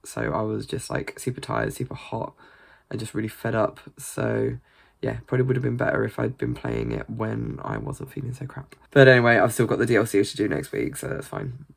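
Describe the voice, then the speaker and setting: A woman's voice: very deep voice, very animated, brisk, gravelly, slightly rough. A British woman chatting casually in a vlog, rambling and swearing freely, sounding a bit congested. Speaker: gaming vlogger.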